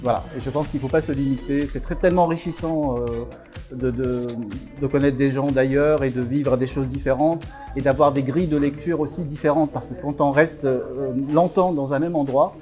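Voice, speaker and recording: A man's voice: medium pitch, steady tone, moderate pace, mellow, soft background music. A middle-aged man from Algeria, a psychiatrist and author, answering interview questions with many fillers and restarts.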